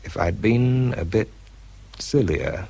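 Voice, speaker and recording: An actor playing a weary, self-doubting British man who narrates his own story in a radio drama, reflective and halting, with a gravelly, husky voice. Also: on the low side, mellow, heavily acted. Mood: bitter, resigned.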